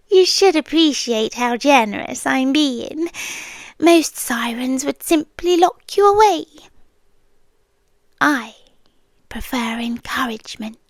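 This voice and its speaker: A woman acts out a British siren queen, an obsessively loving female monster in an ASMR roleplay. Her voice is high and extremely unhurried, soft and teasing, slipping into whispers. She sounds sweetly menacing and possessive, recorded close and dry. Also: lively intonation, no room sound, exaggerated voice. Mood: smug, possessive.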